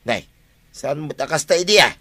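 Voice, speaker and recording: A man's voice: on the high side, lively intonation, moderate pace, sharp tone. A man with an Ilocano accent acting a teasing village uncle in a comedy drama. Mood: puzzled.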